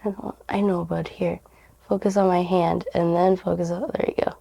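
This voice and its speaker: Raspy woman with a cold, chatty. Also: lowish voice, little pitch movement, normal speed, warm tone. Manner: rambling, giggly, many fillers like "like" and "um". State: hoarse from a cold. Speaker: tarot reader, channel host. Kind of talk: casual chatty tarot reading.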